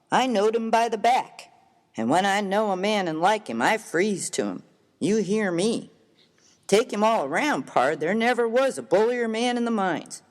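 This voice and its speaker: A rough American frontier miner voiced by a man, folksy and slangy in a comic story reading. His pitch swings widely as he makes each point emphatically. The recording rings with a long, hall-like reverb. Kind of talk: dramatized reading of a humorous story.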